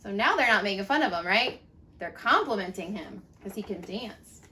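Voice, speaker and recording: A woman reading a storybook aloud to young children in an expressive, singsong way, pausing for little asides. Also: medium pitch.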